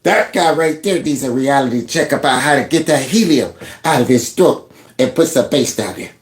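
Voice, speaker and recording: A man's voice: medium pitch, expressive, moderate pace, echoey room. A man doing a comedic, stammering mockery of a newscaster. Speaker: commentator mocking a newscaster.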